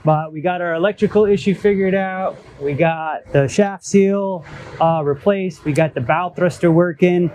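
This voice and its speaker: A man calmly summing up the repairs done on a boat, in a plain, matter-of-fact way. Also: high voice, normal speed, a little hiss or hum. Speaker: boat mechanic. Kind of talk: casual work update.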